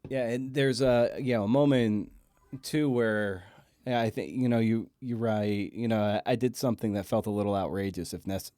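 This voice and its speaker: A man hosting a conversational literary podcast, asking halting, rambling questions and often repeating words as he goes. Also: lowish voice, mellow, no room sound, studio quality. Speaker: podcast host and interviewer.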